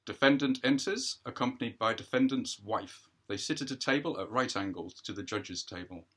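A man's voice: mid-range voice, normal speed, fairly bright tone, breathy edge. A man in his sixties reads out stage directions from a script in a plain narrating style. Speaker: playwright reading own script. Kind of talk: scripted read-through, reading stage directions. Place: small dim room indoors, reclining.

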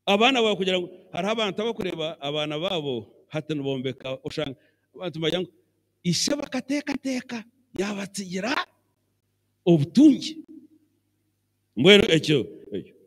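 A middle-aged Ugandan man preaching a sermon as bishop on a handheld mic in a large church, animated and mixing English phrases into his talk. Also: sits high, fast, pauses often. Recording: long church hall reverb.

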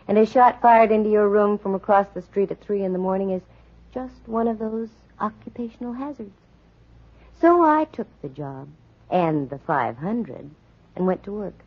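Husky, wisecracking lady private eye. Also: mid-range voice. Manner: dry, sardonic, wisecracking. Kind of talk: 1940s radio detective drama acting. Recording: muffled vintage 1940s radio recording.

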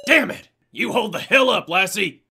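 A man's voice: high-pitched, animated, normal speed, vocal fry, bright, forceful tone, a little hiss or hum, exaggerated voice. A man voicing a brash, hot-headed baseball player with folksy bluster in a game dub. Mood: furious. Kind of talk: animated visual novel game dub.

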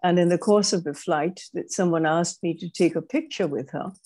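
An older woman with a British-Caribbean accent, her voice low and mellow. She recalls her past in a measured, reflective way, often restarting her sentences, speaking over earbuds on a video call. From Grenada. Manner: measured, thoughtful, with frequent restarts. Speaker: guest speaker. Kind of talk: reflective interview storytelling. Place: home room, indoors. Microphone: wired earbuds on a video call.

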